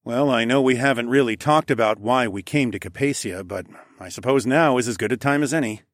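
A man's voice: mid-range voice, a little fast, no room sound, clean studio sound, natural voice. A man playing a confident, reassuring fantasy lord with an assured, plain-spoken manner in an audiobook. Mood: serious.

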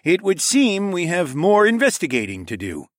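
Male narrator as fantasy king, raised voice, measured, placating, authoritative, lively intonation. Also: sits high, no echo, studio quality, voice pushed up. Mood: placating, firm.

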